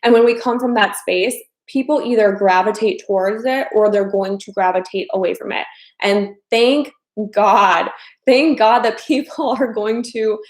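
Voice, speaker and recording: A woman's voice: medium pitch, moderate pace, echoey room. A chatty woman in her thirties from the American Midwest, hosting a casual live stream as a dating coach and often repeating her phrases. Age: 30s.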